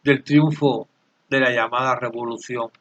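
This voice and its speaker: A man in his late sixties from Cuba, answering interview questions earnestly and haltingly, often repeating words as he searches for them. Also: mid-range voice, steady tone, a bit bright, dull audio. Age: 60s. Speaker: channel creator, interviewee.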